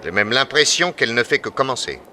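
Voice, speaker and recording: An actor playing a brisk, courteous but insistent private detective in a French radio crime drama. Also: male voice, medium pitch, very animated, sharp tone, some chatter behind, slight background noise. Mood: calm, insistent. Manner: commanding, polite but insistent.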